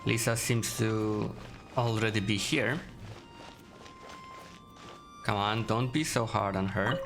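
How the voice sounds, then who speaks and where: A woman's voice: a bass voice, steady tone, takes it slowly, slight background noise. A woman giving laid-back, playful gameplay commentary as she reacts to the characters' dialogue. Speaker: gaming streamer. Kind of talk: casual playthrough commentary. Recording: game music behind the voice. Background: video game music.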